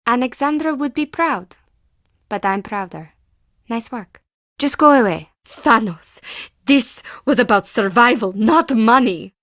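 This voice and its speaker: A woman's voice: on the high side, expressive, measured pace, a bit bright, no echo, studio quality. An actress playing a timid, defensive woman artist and forger in a video game, with intense, theatrical delivery in clipped phrases. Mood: guarded, then desperate, afraid. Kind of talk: scripted video game character dialogue.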